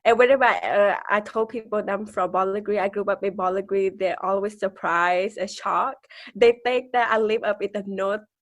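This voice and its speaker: A woman in her twenties, raised in a refugee camp in Thailand, speaking with a Karenni accent as a podcast guest. She talks hesitantly, restarting her sentences and laughing softly between points. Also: medium pitch, steady tone, moderate pace. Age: 20s. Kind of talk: conversational interview.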